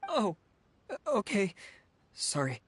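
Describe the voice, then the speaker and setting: Casting: nervous-natured, meticulous office clerk for a fantasy game, hesitant and awkward delivery. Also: male voice, sits high, huge pitch swings, slight background noise, exaggerated voice. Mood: apologetic. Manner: hesitant, halting, fussy about figures. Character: timid, awkward, meticulous male civil servant.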